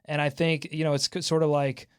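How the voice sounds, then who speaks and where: A man hosting a casual sports talk show, bantering with fans and co-hosts in an excitable, animated way. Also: a little high, brisk, clear, resonant tone. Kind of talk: casual sports fan banter with comments and highlights.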